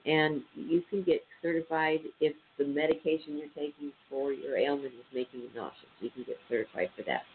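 A man's voice: a little high, brisk, few pauses. A man with a stuffy, nasal voice hosting a casual radio show, reading statistics aloud with many ums and uhs. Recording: tinny phone-line sound with faint hiss.

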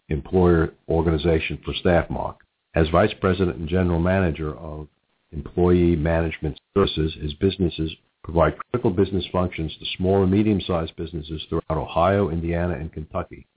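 A middle-aged man with a New York accent hosting a business talk show, halting and repeating words as he goes. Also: sits low, steady tone, breathy edge. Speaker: radio talk show host. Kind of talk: business interview and announcements. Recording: muffled narrow phone-like audio.

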